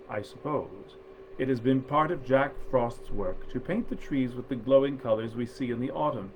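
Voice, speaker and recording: A man reading nineteenth-century fairy tales aloud in a measured, expressive storyteller's manner. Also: medium pitch, a little hiss or hum, rough recording. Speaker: actor reading stories aloud.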